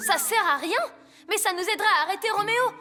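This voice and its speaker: A woman voicing an eager young boy superhero in a cartoon dub, with an energetic, rallying, childlike delivery. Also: very high voice, quick pace. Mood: determined. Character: eager young boy superhero, cat-themed, upbeat leader. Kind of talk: animated cartoon dubbing. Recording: loud music behind.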